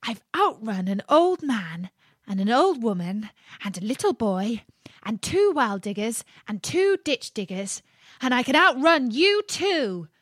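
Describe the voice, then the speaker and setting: A woman's voice: on the high side, sing-song, measured pace, dry recording, clean studio sound. A woman voicing a cheeky, boastful little gingerbread man in a storybook tale.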